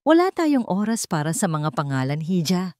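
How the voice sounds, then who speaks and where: Haughty older villainess, played by a female narrator: venomous, mocking, deliberate menace, dry close sound. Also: on the low side, big pitch swings, a little mellow, no room sound. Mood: cold commanding.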